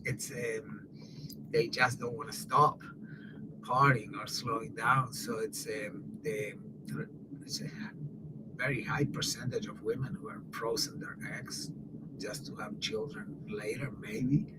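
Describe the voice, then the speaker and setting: A middle-aged man in casual conversation, talking in halting starts and often clearing his throat. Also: lowish voice, steady tone, very noisy.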